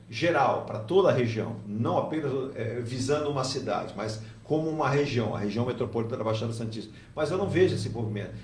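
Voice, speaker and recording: A man's voice: mid-range voice, darkish tone, slight background noise. Male talk host, roomy and distant. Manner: conversational, with many fillers and tag questions. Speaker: radio talk show host. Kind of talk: hosting a discussion.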